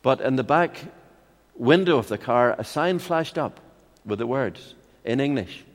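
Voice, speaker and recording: An older man with a Northern Irish accent preaching a sermon in a measured, expository way, with rhetorical questions and personal anecdotes. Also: medium pitch, lively intonation. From Ireland. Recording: long hall reverb.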